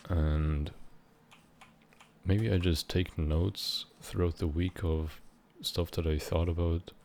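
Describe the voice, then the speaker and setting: Very deep gravelly young man. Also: steady tone, darkish tone, slight background noise. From Switzerland. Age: about 19. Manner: meandering, halting, casually swearing. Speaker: gaming vlogger. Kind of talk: unscripted rambling while playing a game.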